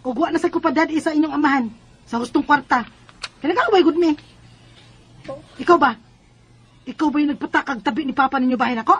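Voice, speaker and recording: A woman's voice: high-pitched, a little fast, long pauses, lo-fi sound, close to an everyday voice. A woman acting a curt, evasive mother and scheming wife in a Filipino radio drama, with a Bisaya accent. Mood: irritated, defiant. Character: evasive, scheming mother, unfaithful wife planning to work abroad.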